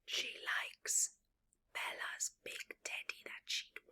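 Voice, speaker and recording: A woman reading a picture book aloud to young children, slow and deliberate, with dramatic, hushed storytelling delivery. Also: in a whisper.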